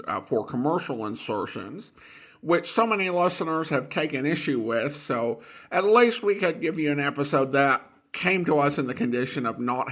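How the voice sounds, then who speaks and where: Bright, animated male podcast host. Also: mid-range voice. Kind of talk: conversational episode commentary.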